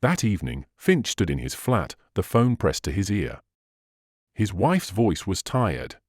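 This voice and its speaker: Male crime narrator, hugely varied pitch. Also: huge pitch swings, measured pace, no echo, studio quality. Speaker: audiobook narrator. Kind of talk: dramatic scripted crime fiction narration.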